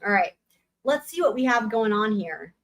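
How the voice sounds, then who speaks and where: A young woman hosting a live book reading, breaking off for blunt, sarcastic comments, her voice going hoarse. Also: mid-range voice, expressive, moderate pace. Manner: sarcastic, blunt asides with swearing. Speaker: livestream host reading a book aloud.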